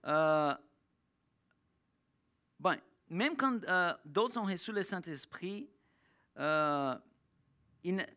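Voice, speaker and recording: A preacher giving a church sermon, pausing and restarting often to find the words, and slowing down to read scripture aloud. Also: mid-range voice, expressive, bright, forceful tone. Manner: halting, with frequent restarts and searching pauses. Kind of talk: sermon with Bible reading.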